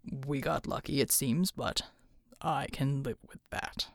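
A woman doing a low, gravelly, stoic man. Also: no room sound, studio quality, heavily acted. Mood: weary calm. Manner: slow, quiet, half-whispered. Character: calm injured grown man, gentle and stoic.

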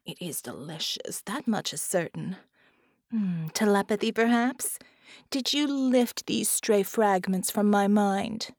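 A woman's voice: medium pitch, slow, darkish tone, dry recording, clean studio sound. A woman voicing a seductive, menacing female lamia demon who hisses and purrs her words. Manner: silky, menacing, hissing.

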